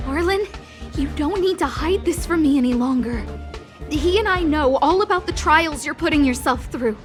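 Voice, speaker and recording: A woman playing an earnest, dramatic young noblewoman boss in an anime-style video game, with emphatic, theatrical delivery. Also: high-pitched. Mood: earnest, remorseful.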